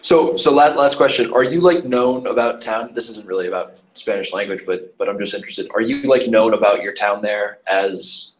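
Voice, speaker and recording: A man co-hosting a podcast who puts casual questions to his co-host, halting and restarting as he asks. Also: fairly low pitch, low-quality recording. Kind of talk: casual chat.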